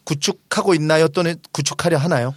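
A man's voice: fairly high pitch, a little fast, no echo, studio quality. A man in his forties hosting a book discussion, explaining ideas conversationally and emphatically. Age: 40s. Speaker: host of a book discussion show; restaurant owner.

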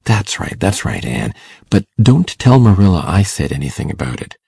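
A man playing a shy, kindly older farmer in a storybook, hesitant and folksy, coaxing gently and repeating himself. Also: low voice, big pitch swings, moderate pace, vocal fry, breathy edge, no echo, full character voice. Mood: gentle, relieved. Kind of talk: audiobook character acting.

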